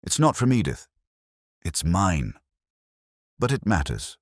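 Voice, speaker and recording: Man voicing an uneasy woman witness, low-pitched. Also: big pitch swings, slow, no room sound, studio quality, full character voice. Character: uneasy, earnest woman witness confiding in police.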